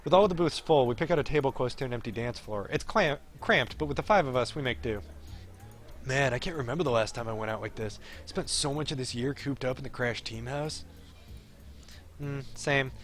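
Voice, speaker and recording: A man's voice: mid-range voice, fairly quick. A man streaming a visual novel, reading the story aloud as live commentary. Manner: puts on voices for the characters. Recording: music behind the voice.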